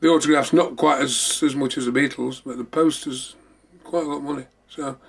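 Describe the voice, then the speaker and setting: Man, gravelly casual chat. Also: mid-range voice, steady tone, fairly quick, a bit bright. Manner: rambling, repeating himself. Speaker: host of a memorabilia show. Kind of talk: casual show-and-tell chat.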